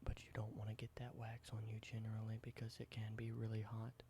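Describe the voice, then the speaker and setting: A man whispers close to the mic in a low, steady voice that barely rises or falls. He explains ordinary objects slowly and simply, and a clear hiss runs under the recording. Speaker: ASMR creator. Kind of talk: whispered ASMR roleplay.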